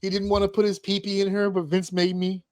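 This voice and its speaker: High-voiced joking male host. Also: monotone, a little fast, darkish tone, no room sound. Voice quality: crude, joking banter. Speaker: podcast host. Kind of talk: casual panel chat.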